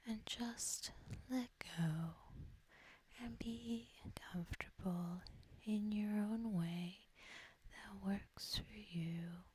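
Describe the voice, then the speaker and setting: A woman softly whispering a guided sleep hypnosis in a breathy, husky voice, gentle and soothing, with long deliberate pauses. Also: medium pitch. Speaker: ASMR host guiding sleep hypnosis. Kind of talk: soft-spoken ASMR guided relaxation.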